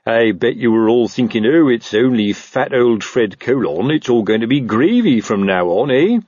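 A man's voice: mid-range voice, expressive, moderate pace, a little mellow, no room sound, dull audio, close to an everyday voice. A man voicing a fat, old, pompous police captain who snaps officiously and suspects everyone, in a fantasy audiobook. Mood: suspicious, snide. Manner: snapping, blustering, officious.

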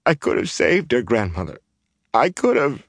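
A man's voice: medium pitch, fairly even intonation, a little fast, no room sound, voice pushed up, lightly acted. A man voicing a naive, desperate young male tinker in a fantasy audiobook, with an impassioned, pleading delivery. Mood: tearful pleading.

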